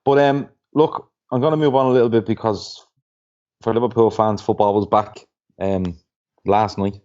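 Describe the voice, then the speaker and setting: A man hosting a casual football podcast, relaxed and loose as he guides the talk. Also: lowish voice, moderate pace. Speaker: podcast host. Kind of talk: casual chat. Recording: dull lo-fi podcast audio.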